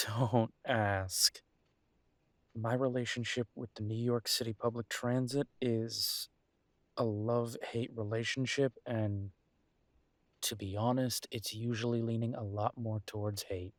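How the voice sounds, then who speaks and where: A man's voice: medium pitch, steady tone, unhurried, no room sound, close to an everyday voice. A man voicing a grumbling but affectionate werewolf detective in an intimate audio roleplay, wry and fondly teasing. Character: gruff, affectionate male werewolf detective, grumbling city dweller.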